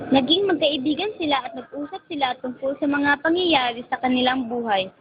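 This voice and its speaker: A woman's voice: high voice, fairly quick. A woman reading scripted narration for an amateur radio drama. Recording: dull lo-fi recording with clear noise.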